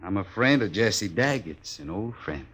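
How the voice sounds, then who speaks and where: An American actor playing a cocky drifting cowboy who needles with false friendliness and folksy talk in a 1950s Western radio drama. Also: male voice, on the low side, lively intonation, unhurried, a bit bright, husky, exaggerated voice. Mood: sly, taunting. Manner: taunting, drawling folksy talk.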